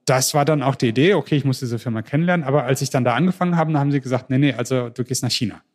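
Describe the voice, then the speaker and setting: Man from germany, interview guest, mid-pitched voice, lively storytelling, stumbles and restarts. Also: rapid-fire. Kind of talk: casual podcast interview.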